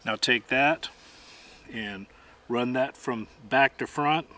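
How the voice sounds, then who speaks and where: A man's voice: mid-range voice, slow, sharp tone. A middle-aged man giving methodical, plainspoken how-to instruction outdoors as he demonstrates gear. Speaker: host and demonstrator.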